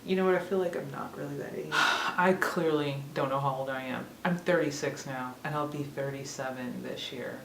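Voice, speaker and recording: A woman's voice: low-pitched, fairly even intonation, normal speed, gravelly, few pauses, a bit bright. Woman, 30s, casual vlog chat, rambling, self-laughing, roomy echo, distant mic. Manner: chatty, rambling, laughs at herself. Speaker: vlogger. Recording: echoey room, mic a bit far off, faint hiss.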